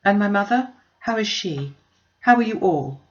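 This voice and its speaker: A woman's voice: medium pitch, lively intonation, moderate pace, dull audio, voice pushed up, lightly acted. A woman voicing a concerned young woman in a classic novel audiobook, with urgent, caring questioning.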